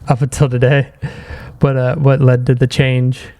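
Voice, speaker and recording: A man's voice: medium pitch, little pitch movement, normal speed, dark tone. A man hosting a casual interview, easygoing, giving short acknowledgements and then asking questions. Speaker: podcast host, interviewer.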